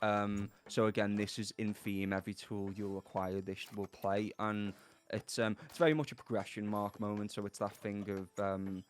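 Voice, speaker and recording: Scouse male audio designer, low voice, relaxed explainer, livestream chat. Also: normal speed. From Liverpool, England. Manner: easygoing, explains in loose trailing phrases.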